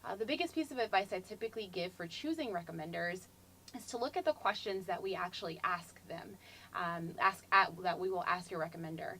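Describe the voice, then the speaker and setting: The woman who runs a campus admissions Q&A, reading out questions and giving measured advice over faint room noise. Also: on the low side, a bit bright. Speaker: admissions Q&A host. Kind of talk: informal explanatory advice.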